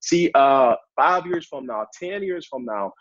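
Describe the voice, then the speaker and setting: A man in his twenties with a Haitian accent, giving an energetic motivational talk as a guest speaker on a sales training call. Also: medium pitch, unhurried, no echo, dull audio. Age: 20s. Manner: hyped up, energetic, repetitive.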